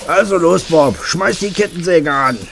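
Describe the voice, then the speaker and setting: An actor playing a matter-of-fact boss of a logging crew in an audio drama. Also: male voice, fairly high pitch, normal speed, creaky, noisy background. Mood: businesslike.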